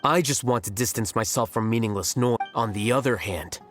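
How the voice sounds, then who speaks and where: A man's voice: medium pitch, faint music behind, lightly acted. Man playing an aloof, articulate young scholar. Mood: cool, cutting. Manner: measured, detached, articulate. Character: aloof, blunt, intellectual young male scholar. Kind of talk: anime game voice acting.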